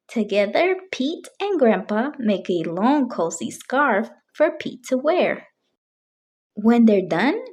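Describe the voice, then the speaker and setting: A woman reading a picture book aloud for young children, with deliberate, expressive phrasing. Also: a little high, breathy edge. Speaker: children's storybook reader.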